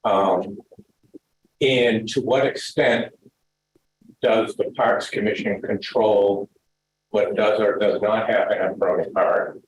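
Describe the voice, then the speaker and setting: Slow, halting man in echoey room. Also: on the low side, steady tone. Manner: halting, repeats words before questions. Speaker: committee member. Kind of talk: meeting discussion. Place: town meeting room. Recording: roomy echoey meeting room.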